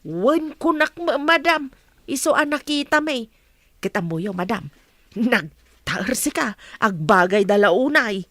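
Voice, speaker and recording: A high-voiced, gossipy housemaid played by an actress in a radio comedy drama. She is deferential yet excitable, and the sound is dry and close. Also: a little slow, no room sound, heavily acted. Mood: excited, teasing.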